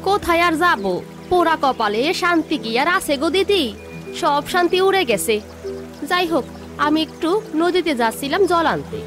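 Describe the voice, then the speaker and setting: A woman's voice: sits high, expressive, normal speed, music in the background. Woman voicing a scolding village housewife. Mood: worried. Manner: scolding, complaining. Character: overworked complaining village housewife, a mother.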